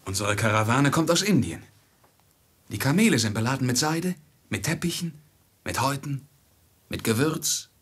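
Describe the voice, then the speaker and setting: An actor playing a loyal, anxious manservant in a 1950s radio play, with a husky voice and deferential, urgent manner. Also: male voice, mid-range voice, expressive, moderate pace, long pauses, a bit bright. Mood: reminding. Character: loyal, anxious male servant of a caravan merchant.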